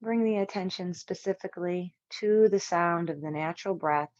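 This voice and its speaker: A woman instructor leading a guided yoga relaxation, speaking in measured, soothing short phrases with long pauses. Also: lowish voice, darkish tone, no echo, muffled recording.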